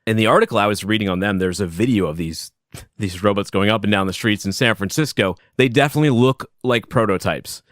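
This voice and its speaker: A man hosting a solo podcast from his basement, talking loudly and casually, rambling and chuckling as he goes. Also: on the low side, a little fast, no room sound, studio quality. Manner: animated, rambling, self-interrupting, chuckles often; loud voice. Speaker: podcast host. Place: home basement.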